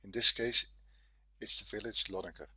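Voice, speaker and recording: A man with a Dutch accent, a native Dutch speaker, giving a software tutorial in careful, simple phrases. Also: lowish voice, fairly even intonation, moderate pace, husky, dry recording. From Netherlands. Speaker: software developer, guest presenter.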